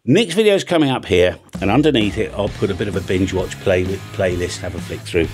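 An older British man with a low-pitched voice presents a casual hobby tutorial. He is chatty and plain-spoken, working things out aloud as he explains. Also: expressive, brisk, a little mellow, faint music behind. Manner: chatty, blunt, thinks aloud. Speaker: radio hobby video presenter. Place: home music studio room with drum kit. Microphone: large studio microphone on an arm, close by.

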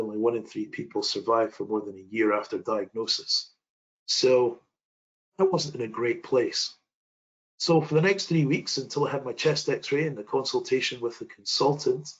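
A man's voice: mid-range voice, lo-fi sound. A British man in his thirties presenting a webinar from his home office, telling a personal story in an earnest, reflective way with some hesitations. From United Kingdom. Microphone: video call webcam.

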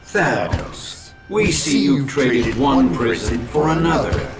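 Man playing a very slow, echoing robot villain. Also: medium pitch, close to an everyday voice. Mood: gloating menace. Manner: grandiose, menacing, deliberate. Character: megalomaniac merged robot villain who claims godhood. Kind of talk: theatrical video game villain acting. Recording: echoing, distant, under loud game music.

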